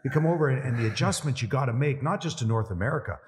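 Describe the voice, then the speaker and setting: A man's voice: medium pitch, lively intonation, very fast. A man co-hosting a sports podcast, asking questions in a friendly, teasing way. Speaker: podcast co-host. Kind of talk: casual interview chat. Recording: long reverb, echoey room.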